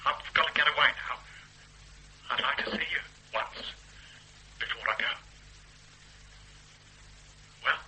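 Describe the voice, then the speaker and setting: A man playing an older father on the run in a radio drama, soft and halting as he pleads with his grown daughter. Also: mid-range voice, rough recording. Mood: pleading.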